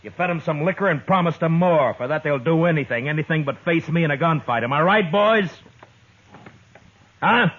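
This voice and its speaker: An American actor playing a tough, curt, middle-aged frontier marshal in old-time radio western style, commanding and dry. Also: male voice, a little high, animated, moderate pace. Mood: stern, defiant. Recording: muffled, noisy old radio western recording.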